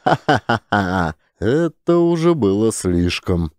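A man narrating a classic story as an audiobook, in a measured storytelling manner. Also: low voice, expressive, warm tone, no room sound, clean studio sound.

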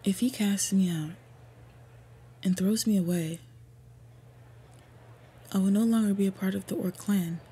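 Mellow, devoted orc warrior girlfriend, played by a woman. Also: mid-range voice, measured pace, long pauses. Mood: anxious, troubled. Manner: soft, earnest, intimate, often breathy. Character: devoted, protective female orc warrior lover. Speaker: roleplay audio voice actor. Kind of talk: intimate roleplay audio acting. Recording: clear background ambient noise. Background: ambience sound effects.

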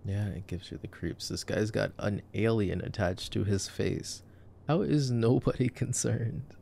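A man's voice: on the low side, a little slow, gravelly, mellow, a little hiss or hum. A young man giving casual ASMR movie commentary in a laid-back, joking, murmured way. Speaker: ASMR boyfriend roleplayer commenting on a film.

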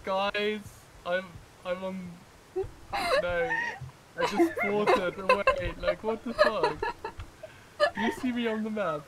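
A man's voice: sits high, wildly swooping pitch, slow, over a noisy crowd, low-quality recording. A man laughing and exclaiming in casual group voice chat while gaming. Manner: giggly, exclaiming. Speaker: gamer in voice chat.

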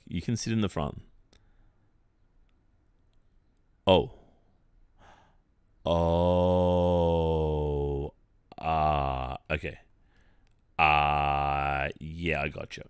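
An Australian man playing an awkward, polite young college football captain in a boyfriend roleplay, speaking in a hesitant, halting way. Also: deep voice, clean tone, dry recording, clean studio sound. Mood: shy, hesitant. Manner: hesitant, halting, with many pauses and soft awkward filler. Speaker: ASMR roleplay performer. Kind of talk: ASMR boyfriend roleplay, one-sided improvised-sounding dialogue.

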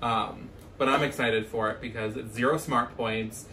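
A young man talks casually and effusively as a vlog co-host. His voice is mid-pitched. It is picked up from a short distance in a roomy space with faint noise. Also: fairly even intonation, normal speed, bright, forceful tone. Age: young adult. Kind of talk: casual grocery haul chat to camera. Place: small kitchen at home, indoors. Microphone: camera a few feet away.

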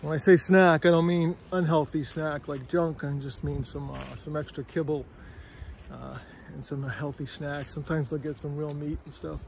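A middle-aged man with a fairly high, gravelly voice, rambling casually as he walks a forest path. He is a little out of breath and keeps checking in with "all right?" There is faint wind noise on the phone recording. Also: fairly even intonation, normal speed, darkish tone. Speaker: vlogger. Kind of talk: casual walking vlog. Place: windy forest trail outdoors. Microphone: phone at arm's length.

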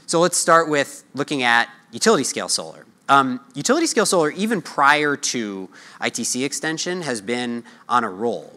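Bright-voiced American man presenting at a conference. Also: mid-range voice. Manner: conversational, asks and answers own questions. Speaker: market research analyst giving a keynote. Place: large hotel ballroom conference stage. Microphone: lapel mic.

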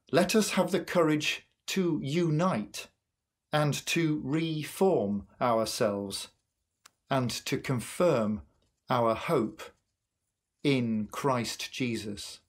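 A man in his sixties leading worship as a minister, reading a sermon and prayers in an earnest, measured way with deliberate pauses. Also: mid-range voice. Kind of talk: sermon and prayer, read from a script. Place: small curtained room at home, indoors.

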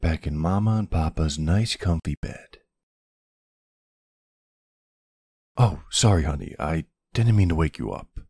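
A man acts out a doting mafia boss husband and father in an intimate audio roleplay. His voice is very deep, with gravelly fry, and he speaks slowly and softly with tender, hesitant pauses. The sound is close and dry. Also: lively intonation, no echo, exaggerated voice. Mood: tender, loving. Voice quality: gravelly, husky. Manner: soft, unhurried, hesitant pauses. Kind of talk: intimate ASMR roleplay acting.

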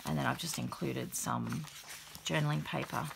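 A middle-aged woman with a croaky, gravelly voice after the flu, casually showing a handmade journal at her craft table, relaxed and chatty with many fillers. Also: extremely low pitch, moderate pace, noisy background. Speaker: craft channel host. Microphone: overhead camera, hands only.

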